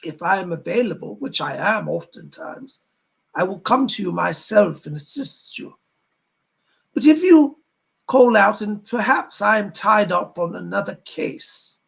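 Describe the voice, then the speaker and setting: A man voicing a solemn, benevolent spirit guide, addressing a gathering in formal, old-fashioned, sermon-like oratory with a husky, gravelly voice. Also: high voice, lively intonation, measured pace, a bit bright, heavily acted. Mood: benevolent, reassuring. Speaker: trance medium speaking as a spirit.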